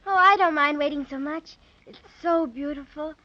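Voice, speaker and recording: A woman's voice: sits high, normal speed, close to an everyday voice. A woman playing a sweet, polite, wondering little girl in a 1930s children's radio drama. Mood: curious. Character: sweet, curious, wide-eyed little girl. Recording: muffled 1930s radio recording.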